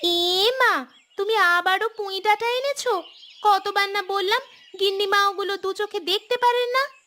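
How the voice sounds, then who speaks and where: A woman's voice: very high voice, measured pace, bright, forceful tone, voice pushed up, exaggerated voice. A woman voicing a chatty, cheeky young village housemaid in a cartoon, with a chirpy, gossipy manner. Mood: exasperated, tattling. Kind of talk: cartoon voice acting.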